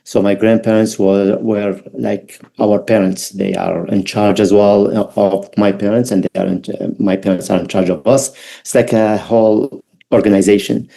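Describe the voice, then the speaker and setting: Mellow middle-aged man with an Iraqi accent. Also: lowish voice, little pitch movement, creaky, poor audio. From Baghdad, Iraq. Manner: halting, reflective, non-native English phrasing. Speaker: podcast guest. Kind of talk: personal storytelling interview.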